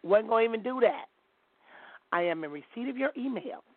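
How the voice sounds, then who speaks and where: A woman hosts a call-in show over a thin, tinny phone line, her voice sitting a little high and swinging widely in pitch. She is blunt and outspoken and laughs easily. The sound is dry and close. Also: lively intonation, a little fast, dry recording.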